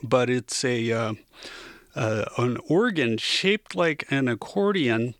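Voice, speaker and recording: A man's voice: medium pitch, expressive, slow pace, a bit bright, no echo, studio quality. A man hosting a music radio show in a casual, unscripted way, rambling and often searching for his words.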